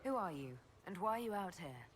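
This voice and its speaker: An actress playing a composed military leader heroine in a video game, speaking in a calm, direct, probing way. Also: female voice, lowish voice, fairly quick, a little hiss or hum.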